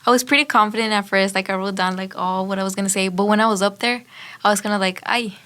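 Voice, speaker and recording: A woman's voice: medium pitch, fairly even intonation, fast, a bit bright. Teenage girl from Mexico, candid. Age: teens. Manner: candid, reflective. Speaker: student speech competitor. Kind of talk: casual chat.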